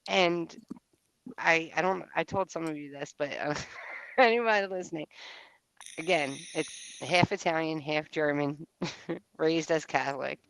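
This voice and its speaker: A woman chatting on a livestream panel, telling animated stories that she laughs through. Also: low voice, a little slow, vocal fry, no room sound, low-quality recording. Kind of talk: casual livestream panel chat.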